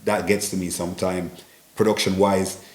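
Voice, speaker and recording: A man with a strong Jamaican accent chats easily as an interview guest, his voice sitting low for a man. He keeps checking in with his listener as he talks. The room around him rings with a noticeable echo. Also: normal speed. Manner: chatty, relaxed, peppers talk with "you know what I mean". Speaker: interview guest, business owner in Ghana. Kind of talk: casual interview conversation.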